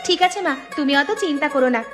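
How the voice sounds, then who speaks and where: A very high, girlish village daughter in a cartoon performed by a woman. She speaks in an eager, familiar, helpful way. Music is clearly audible underneath. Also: fast, close to an everyday voice. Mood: obliging. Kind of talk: cartoon dialogue acting.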